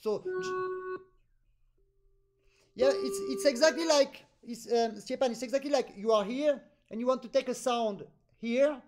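French-accented man teaching over video call. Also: very high-pitched. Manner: animated, deliberate pauses between phrases. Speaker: accordion teacher. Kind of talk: online master class instruction.

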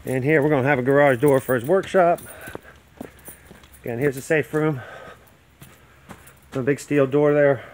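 A man's voice: medium pitch, steady tone, moderate pace, long pauses, a little hiss or hum. Plain-spoken man touring a building site. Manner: plain-spoken, explanatory, with frequent fillers and restarts. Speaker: home builder. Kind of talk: casual site walkthrough. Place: outdoor construction site. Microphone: handheld phone camera.

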